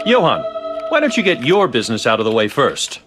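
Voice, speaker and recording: An actor playing an eccentric, cheerful scientist in a video game cutscene, theatrical and gleeful with precise phrasing. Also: male voice, mid-range voice, wildly swooping pitch, brisk, over music. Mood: cheerful, unhurried. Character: eccentric, cheerful male scientist, giddy about data.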